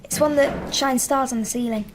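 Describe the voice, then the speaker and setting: A woman's voice: sits high, normal speed, slight background noise, lightly acted. An actress playing an earnest, hopeful young schoolgirl in a naturalistic radio drama. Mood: dreamy, chatty.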